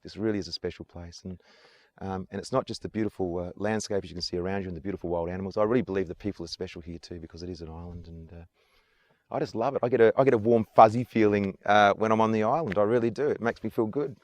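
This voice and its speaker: An Australian tour guide speaks at a brisk clip in a deep, low voice. He talks with earnest enthusiasm and comes back to the same praise again and again. The recording is dry, with no room echo. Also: darkish tone. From Adelaide, South Australia. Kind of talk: casual interview answers. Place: outdoors on an island.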